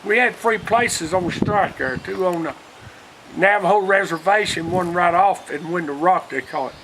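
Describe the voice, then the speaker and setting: An older Southern man from Alabama with a high, bright, forceful voice reminisces casually. He rambles in a folksy way and often quotes old conversations. There is a little background noise on the recording. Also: normal speed, low-quality recording. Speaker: storyteller, retired union organizer.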